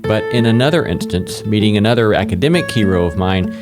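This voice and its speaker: A man hosting a show, telling prepared stories and introductions in a wry, deliberate way. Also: on the low side, animated, over loud music. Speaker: show host introducing a guest. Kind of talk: prepared storytelling introduction before an audience.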